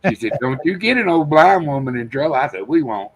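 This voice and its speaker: A man's voice: a little high, sing-song, fairly quick, husky, poor audio. An older man from Texas, chatting casually on a live stream and telling rambling folksy stories with quoted dialogue. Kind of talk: casual chat.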